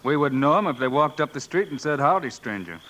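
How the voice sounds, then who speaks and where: A man playing a calm, commanding frontier marshal in a radio western. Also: on the high side, quick pace, poor audio. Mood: calm, resolute. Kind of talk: 1950s radio western acting. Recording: old radio recording, faint noise.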